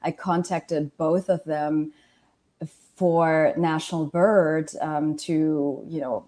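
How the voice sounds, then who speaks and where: Low-voiced German-raised woman filmmaker. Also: steady tone, takes it slowly, dark tone. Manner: earnest, many fillers and restarts. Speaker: documentary filmmaker, guest. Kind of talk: interview answers.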